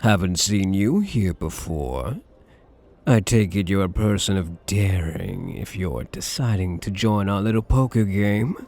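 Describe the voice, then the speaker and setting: A man playing a suave, possessive gambler in an intimate ASMR roleplay, flirtatious and quietly menacing, with a breathy voice and teasing, drawled pet names. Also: low voice, animated, a little slow, soft background music, exaggerated voice. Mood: smooth welcome.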